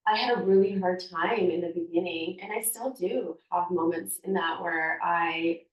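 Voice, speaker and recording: A woman in her thirties speaking in a reflective podcast conversation, soft-spoken and halting as she searches for her words. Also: fairly low pitch, distant mic, dull audio. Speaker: podcast guest. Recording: echoey room, off-mic.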